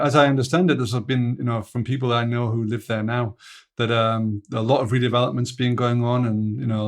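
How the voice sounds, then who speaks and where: A man co-hosting a podcast, bantering and telling rambling anecdotes. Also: mid-range voice. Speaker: podcast co-host. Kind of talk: casual podcast chat.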